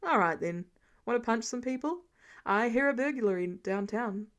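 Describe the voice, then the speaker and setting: A woman voicing a masked vigilante man in his thirties, gruff and protective, speaking in terse, firm scolding lines for an audio story. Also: fairly high pitch, lively intonation, moderate pace, natural voice. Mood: casual.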